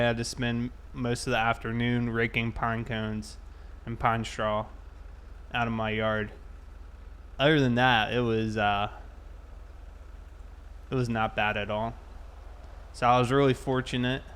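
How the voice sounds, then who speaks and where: A man's voice: medium pitch, fairly bright tone. Laid-back American man streaming, noisy background. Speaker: game livestream host. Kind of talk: casual livestream chat while playing.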